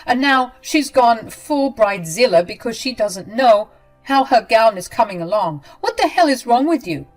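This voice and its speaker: Woman putting on a cruel mother-in-law, shrill, loud, sneering, contemptuous, story narration with faint music behind. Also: animated, moderate pace, poor audio. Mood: yelling.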